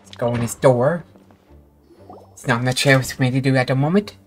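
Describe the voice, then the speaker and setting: A woman with a very deep voice streams a video game. She comments casually in short, offhand, low-key remarks, with faint music behind her. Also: normal speed, darkish tone.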